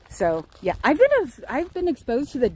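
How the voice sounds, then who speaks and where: A woman's voice: high-pitched, darkish tone, husky, rough recording. A woman chatting casually while walking outdoors, teasing her friend and laughing often. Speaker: vlog host. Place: outdoors in nature.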